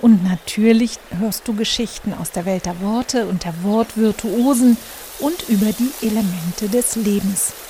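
A woman's voice: mid-range voice, unhurried, dark tone, slight background noise. A German woman hosting her own podcast, talking through her thoughts in a reflective monologue with many fillers and restarts. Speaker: podcast host, journalist and author.

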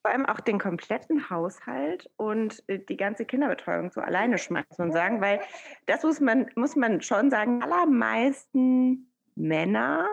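A woman in her thirties, born in Iran, talking as a guest over a video call, thoughtful and hesitant with many pauses. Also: a little high, lively intonation, creaky, highs cut off. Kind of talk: casual interview conversation.

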